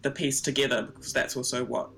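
A woman's voice: a bass voice, little pitch movement, fast talker, fairly bright tone, a little hiss or hum. A woman whose native tongue is Twi, answering casually as an interview guest, reflective and searching for words. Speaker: interview guest, performance artist.

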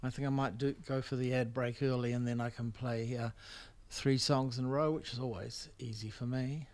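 A man's voice: mid-range voice, fairly even intonation, moderate pace, darkish tone, dry recording. A man hosting a music radio show in a casual, rambling, hesitant way. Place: dry radio studio.

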